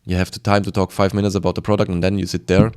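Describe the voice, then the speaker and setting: A man with a German accent and a fairly low voice speaks as a sales coach to his viewers. His delivery is informal and emphatic. He repeats points and slips into little bits of acted dialogue. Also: little pitch movement, racing, a little mellow, clean tone. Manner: emphatic and repetitive, acting out short bits of dialogue. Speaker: sales coach presenting a video. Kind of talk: informal advice monologue to camera.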